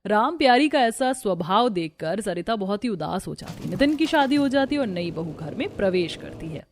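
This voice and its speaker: A woman narrating a scripted animated family story. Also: medium pitch, moderate pace, a little hiss or hum. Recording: music behind the voice.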